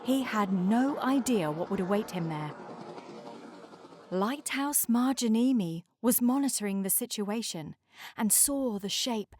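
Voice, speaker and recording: A woman's voice: mid-range voice, no room sound, clean studio sound. A woman narrating a scripted children's storybook aloud. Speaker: storybook reader.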